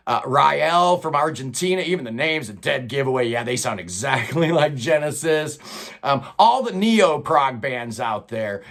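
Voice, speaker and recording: Chatty older man with a higher voice. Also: fairly bright tone. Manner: enthusiastic, loose and chatty, with fillers. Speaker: host of a prog rock channel. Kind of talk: casual countdown chat. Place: record-filled home studio room.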